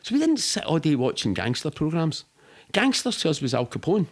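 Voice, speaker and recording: A man's voice: a little high, wildly swooping pitch, a little fast. A middle-aged man with a Glaswegian accent, telling rambling, sweary, matter-of-fact anecdotes in casual interview chat. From Scotland. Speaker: podcast guest.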